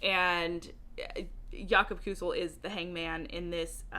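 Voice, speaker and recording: Woman book vlogger, bright forward voice, animated casual chat, informal and emphatic, giggly delivery. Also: on the low side. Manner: giggly, laughs often while talking. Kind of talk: casual chatty video monologue.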